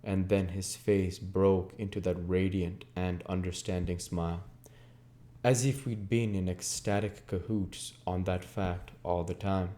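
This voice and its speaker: Low, mellow man reading a novel aloud. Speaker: reader of a video book series. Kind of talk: audiobook-style reading of a classic novel.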